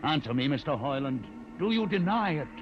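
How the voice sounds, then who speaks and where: An actor in a vintage radio drama playing a learned, commanding rebel leader with a measured, grandiose, theatrical delivery. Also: male voice, a little high, very animated, music in the background. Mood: grandiose, intense. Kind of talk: 1950s radio drama acting. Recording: dull, muffled vintage radio recording.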